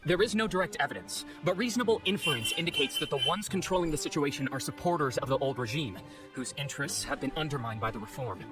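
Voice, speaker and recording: A man's voice: a little high, quick pace, music in the background, natural voice. A man playing a formal, businesslike investigator making a report in a video game. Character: brisk, formal male investigator reporting to his captain.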